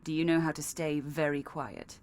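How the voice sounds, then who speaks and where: A woman's voice: low voice, fairly even intonation, normal speed, a little mellow, close to an everyday voice. An actress playing a stern, sardonic female assassin in a video game, with a dry, threatening delivery. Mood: cold, curt.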